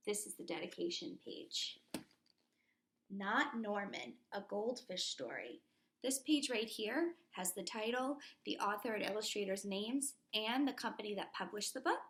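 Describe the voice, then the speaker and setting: A woman teacher reading a children's picture book aloud expressively and deliberately, pausing to explain the pictures. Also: medium pitch, fairly bright tone, slight background noise.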